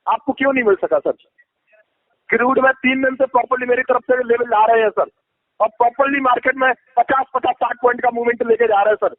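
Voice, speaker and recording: A man making a phone sales pitch, insistent, repetitive and evasive. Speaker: advisory company agent on a sales call. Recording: tinny, echoey phone call recording.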